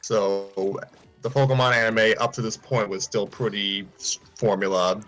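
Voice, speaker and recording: Low-voiced man hosting a chatty stream. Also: fairly even intonation, normal speed, a bit bright, faint music behind. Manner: rambling, self-correcting, chuckling asides. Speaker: host. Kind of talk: casual livestream chat.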